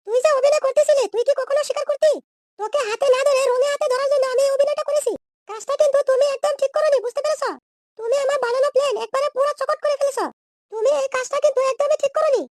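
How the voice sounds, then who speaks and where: A man plays a scheming, quarrelsome young cartoon woman with an extremely high, squeaky voice. She scolds and threatens in slangy bursts at a racing pace, in a dry recording with no room sound. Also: a little mellow, no echo. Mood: accusing. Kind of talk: comedy cartoon dialogue.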